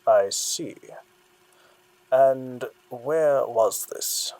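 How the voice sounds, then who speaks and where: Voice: medium pitch, extremely slow, a little mellow, no room sound, poor audio. An actor playing a cool, sceptical interrogator who probes a witness sardonically in an audio drama. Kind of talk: dramatic audio fiction acting.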